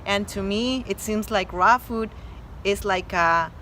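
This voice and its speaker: A woman's voice: mid-range voice, slow, sharp tone. Woman, Mexican accent, earnest, emphatic, full of fillers, outdoors, faint traffic behind. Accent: Mexican Spanish. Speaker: guest, medical doctor. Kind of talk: casual interview chat.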